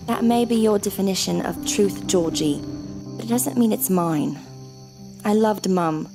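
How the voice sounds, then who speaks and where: Actress as a creaky-voiced, caring older sister. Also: female voice, medium pitch, measured pace, music in the background. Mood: hurt, upset. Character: caring, sentimental older sister and family peacemaker. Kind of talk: audio drama acting.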